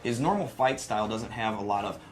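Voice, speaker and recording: A man's voice: on the low side, expressive, a little fast. An enthusiastic man presenting a video game demo in a casual commentary style. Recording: music playing behind the voice.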